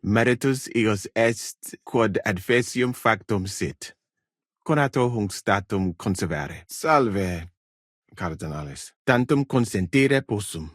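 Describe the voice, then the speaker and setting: Husky, expressive learned medieval physician. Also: male voice, lowish voice, dry recording, clean studio sound. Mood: measured, courteous. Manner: measured, formal, deliberate phrasing. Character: courteous learned foreign physician in medieval Europe, calm and thoughtful. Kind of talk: video game voice acting.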